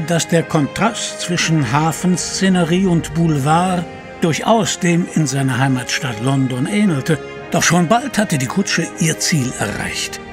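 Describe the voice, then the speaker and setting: Ein Mann erzählt theatralisch und getragen die Handlung eines Hörspiels. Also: male voice, on the high side. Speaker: narrator. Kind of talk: scripted audio drama narration.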